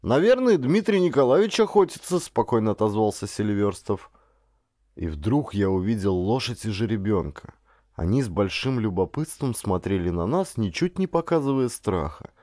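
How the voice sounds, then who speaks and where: Expressive low-voiced man narrating an adventure audiobook. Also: no room sound, studio quality. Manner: expressive, deliberate storytelling. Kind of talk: dramatic scripted narration of an adventure novel.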